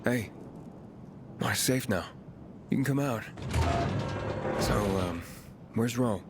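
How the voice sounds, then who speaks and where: A man's voice: medium pitch, slow, background noise. An actor playing a level-headed young male messenger in a video game, plain-spoken and dry. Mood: calm, reassuring. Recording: music playing behind the voice.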